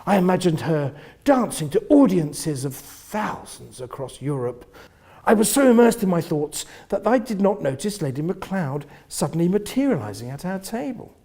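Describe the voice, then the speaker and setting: Expressive husky man reading a story. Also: high voice, moderate pace. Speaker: reader of a novel. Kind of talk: dramatic first-person literary narration.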